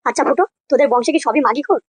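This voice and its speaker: A man voicing an angry, crude, threatening neighbour in a comedy cartoon, with a pitched-up voice. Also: rapid-fire, slight background noise. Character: angry, foul-mouthed neighbourhood man protecting his daughter.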